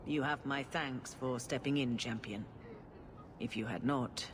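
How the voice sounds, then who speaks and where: An aged, serene religious matriarch from a fantasy game. She talks very slowly, with genteel condescension and complete composure. Noise is clearly present behind her voice. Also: extremely low pitch. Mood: grateful. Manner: measured, gently patronizing, genteel. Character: serene, condescending elderly female religious leader. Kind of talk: dramatic fantasy video game acting.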